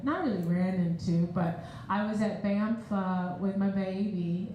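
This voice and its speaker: A woman hosting a live performance, casually introducing the musicians to the audience in a relaxed, rambling way with many fillers. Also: mid-range voice, very echoey, a little hiss or hum, poor audio. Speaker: performance host. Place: large echoey event space.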